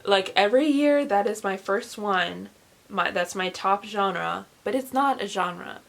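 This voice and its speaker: A young woman in her late teens chatting casually to camera as a book vlogger, rambling with animated, self-deprecating asides. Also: medium pitch, a little slow, creaky. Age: young adult, late teens.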